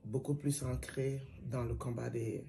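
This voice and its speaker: A middle-aged woman from Mali, a singer and griot, giving measured, reflective interview answers with pauses. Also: extremely low pitch, fairly even intonation, gravelly, dark tone. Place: plain indoor room, seated at a table.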